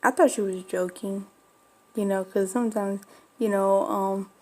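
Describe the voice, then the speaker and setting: A woman's voice: medium pitch, steady tone, a little slow, dark tone. A woman from Florida with a slight Mexican Spanish accent, whose first language was Spanish, telling a personal story in a rambling, confiding way on her vlog. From Florida, USA. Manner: rambling, confiding, many fillers. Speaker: bulldog breeder vlogger.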